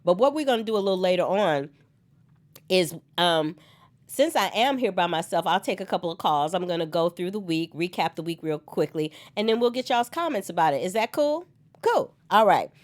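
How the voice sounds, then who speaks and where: A woman hosting an online soap opera recap on her own, slightly hoarse from a cold, animated and chatty and laughing often. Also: lowish voice, brisk. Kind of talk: solo casual recap chat.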